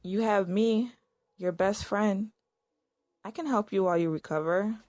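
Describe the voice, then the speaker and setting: A woman's voice: mid-range voice, measured pace, darkish tone, dry recording, close to an everyday voice. Actress as a caring young woman, music behind. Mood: caring, apologetic. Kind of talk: audio drama dialogue.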